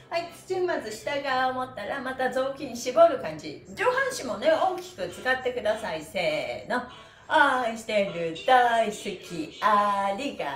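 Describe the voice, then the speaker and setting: A woman's voice: fairly high pitch, a bit bright, roomy sound. A middle-aged woman leading a livestreamed stretching lesson in a cheerful, exclamatory, encouraging way, picked up by a camera mic in a small room. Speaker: stretching instructor and pantomime performer. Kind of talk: livestreamed exercise lesson with chanted counting and chatty asides. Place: small bare room indoors. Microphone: camera mic a few steps away.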